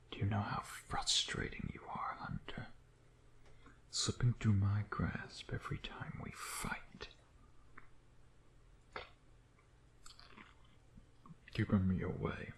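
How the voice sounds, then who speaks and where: A man playing a seductive, taunting vampire in an intimate ASMR roleplay, teasing and menacing, often sinking into breathy whispers. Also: low voice, exaggerated voice. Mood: intense longing. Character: seductive, taunting male vampire toying with his hunter nemesis.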